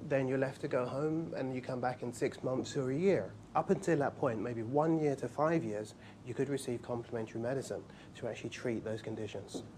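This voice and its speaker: A man's voice: medium pitch, darkish tone, slight background noise. A man in his forties from England, a practitioner of Chinese medicine, talking casually in an interview at his clinic, explaining his work with light humor. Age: 40s.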